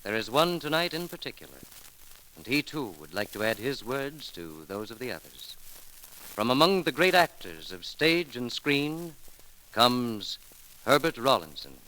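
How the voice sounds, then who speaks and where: A man's voice: mid-range voice, big pitch swings, a little slow, sharp tone, a little hiss or hum, poor audio. An American man narrating a scripted radio memorial as announcer, solemn and formal with dramatic phrasing, in a vintage 1930s broadcast.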